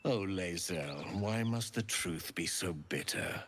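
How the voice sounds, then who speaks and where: A menacing alien knight commander in a fantasy game. He speaks slowly and imperiously, with sweeping swings of pitch and silky scorn. The delivery is theatrical and merciless. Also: animated, a bit bright, slight background noise, exaggerated voice. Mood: cold, pitiless. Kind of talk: dramatic fantasy video game acting.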